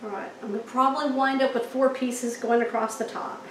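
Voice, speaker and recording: A woman's voice: a little high, level tone, fairly quick, a bit bright. A middle-aged woman, chatting with viewers as she demonstrates a recipe in her home kitchen. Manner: chatty, folksy, checks in with viewers. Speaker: home cooking host. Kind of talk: cooking demonstration. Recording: echoey kitchen with faint noise.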